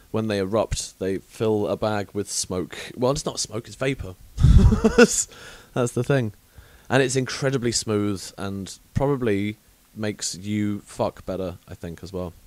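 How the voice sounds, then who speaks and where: A man's voice: fairly low pitch, a little slow, darkish tone, no echo. A man from England hosting a podcast, chatting casually with frank, awkward rambling and many fillers. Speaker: podcast host.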